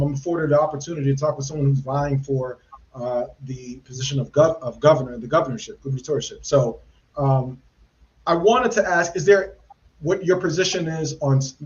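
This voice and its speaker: A man hosting a live podcast, his voice a bit high for a man and recorded with dull, lo-fi audio. He asks earnest, winding questions, stopping and restarting with plenty of fillers. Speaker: podcast host.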